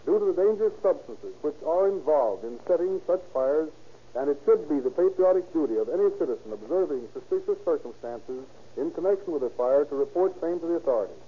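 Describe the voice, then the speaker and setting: A man's voice: fairly high pitch, expressive, fast, breathy edge. A man, a fire captain, giving a stiff, formal scripted address on 1930s radio. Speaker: fire captain, guest speaker. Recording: muffled old radio recording.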